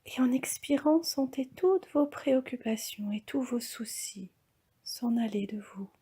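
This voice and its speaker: Female meditation guide, very breathy and near-whispered, unhurried, calm instruction for deep relaxation. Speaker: yoga nidra instructor.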